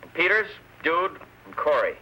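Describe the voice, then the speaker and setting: An actor playing a gruff, righteous small-town sheriff who talks bluntly, in a vintage radio western. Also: male voice, on the high side, big pitch swings, normal speed, sharp tone, full character voice, low-quality recording. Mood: grave recalling. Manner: blunt, plain-spoken. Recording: old radio broadcast recording.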